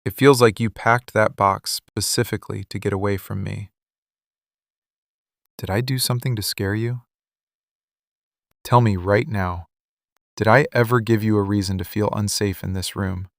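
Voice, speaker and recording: A man acting a possessive, wounded mafia boss in an intimate boyfriend ASMR roleplay, speaking in controlled, deliberate, intense phrases. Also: on the low side, steady tone, gravelly, dry recording, clean studio sound. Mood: hurt, probing. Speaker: ASMR voice actor.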